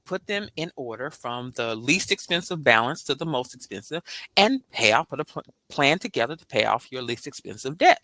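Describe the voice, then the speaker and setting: Woman, financial planner guest; animated, preachy motivator; forceful bright voice, dry close sound. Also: very deep voice, moderate pace, no room sound. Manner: animated and preachy, keeps asking "right?"; laughs often, swings into lively voiced asides. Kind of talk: upbeat motivational interview talk.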